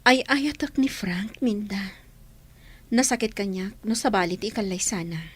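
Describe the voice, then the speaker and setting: A woman's voice: mid-range voice, measured pace, slightly rough. An actress with an Ilocano accent playing a devoted, hesitant young woman in love in a radio drama, sounding anxious. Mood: lovelorn, worried.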